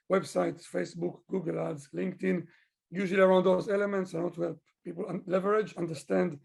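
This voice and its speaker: A man from Israel with a husky, gravelly voice, talking at length and explaining his work as a consultant in a conversational interview. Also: high voice, a little mellow. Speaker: business owner, digital consultant being interviewed.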